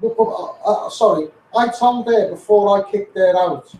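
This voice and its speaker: High-voiced blunt Scouse man in echoey room. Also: unhurried, dark tone. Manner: blunt, sweary, taunting. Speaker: social media personality. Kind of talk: confrontational rant to followers.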